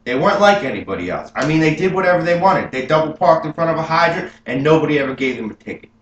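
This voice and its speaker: A young man playing an adult gangster who proudly recalls his youth, telling it earnestly and rising with excitement, as a memorized film monologue. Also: a little high, fast, muffled recording, voice pushed up, close to an everyday voice. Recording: roomy room with faint hum.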